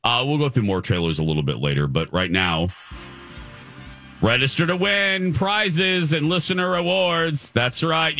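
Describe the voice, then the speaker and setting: Male morning radio host, bright voice, teasing casual banter, studio setting. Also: fairly high pitch.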